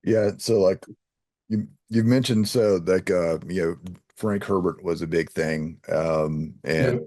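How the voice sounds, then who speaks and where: A man's voice: sits low, fairly even intonation, takes it slowly, darkish tone. A man hosting an interview in casual chat, rambling and hesitant as he circles toward each question. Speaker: podcast host, interviewer.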